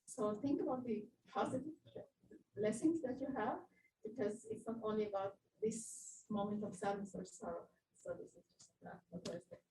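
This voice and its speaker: A woman's voice: mid-range voice, very animated, dark tone. Woman, native Arabic speaker, hesitant, heard far off on an echoey call. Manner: halting, hesitant, many fillers. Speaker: class participant. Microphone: video call. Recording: echoey distant video call with faint noise.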